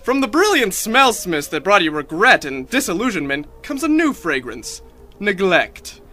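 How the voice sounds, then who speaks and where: Young man as a bright, grandiose radio pitchman. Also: very animated, moderate pace, exaggerated voice. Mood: grandly persuasive. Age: young adult. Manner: mock-earnest, grandiose salesmanship. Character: earnest smooth-talking male 1930s radio sponsor announcer. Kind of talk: parody radio advertisement read. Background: music.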